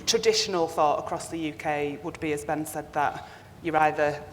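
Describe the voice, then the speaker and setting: A woman who moderates a panel and works as a broker, brisk as she directs questions to the speakers. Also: low voice, fairly even intonation. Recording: echoey hall, faint noise.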